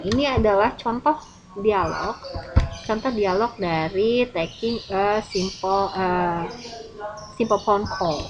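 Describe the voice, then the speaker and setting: A woman with an Indonesian accent, a native Indonesian speaker, teaches English informally in an online lesson, explaining examples and translating as she goes. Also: medium pitch. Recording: clearly noisy, with birdsong. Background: birds chirping, ambient noise.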